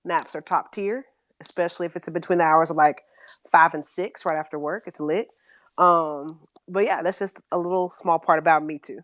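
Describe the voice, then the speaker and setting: Chatty low-voiced woman from Texas. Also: steady tone, normal speed, fairly bright tone, no echo. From United States, Texas. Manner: informal, slangy, chatty. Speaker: athlete development director, panel guest. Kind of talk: casual self-introduction.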